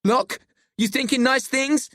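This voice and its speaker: A wary boy leader acted by a narrator, with a raised pitch and an urgent, controlled, bossy delivery. The storybook dialogue is recorded dry. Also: steady tone, a little slow, fairly bright tone, dry recording. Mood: stern, urgent. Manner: stern, urgent, soothing by effort.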